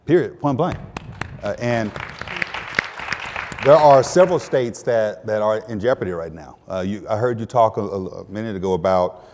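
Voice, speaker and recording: Halting man in a reverberant hall. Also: on the low side, crowd noise, noisy background. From Texas, US. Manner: halting, repeats words, many fillers. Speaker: panel guest, attorney general. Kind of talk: panel discussion.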